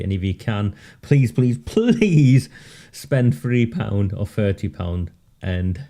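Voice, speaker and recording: Male commentator, low mellow voice, sardonic live-stream host who chuckles between points. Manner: sardonic, laughs often, emphatic repetition. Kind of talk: casual live-stream monologue.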